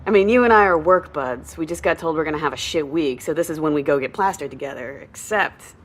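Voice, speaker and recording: A woman's voice: low-pitched, animated, quick pace. A woman playing a breezy, blunt, hard-drinking coworker in an audio drama, talking casually and swearing. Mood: wry.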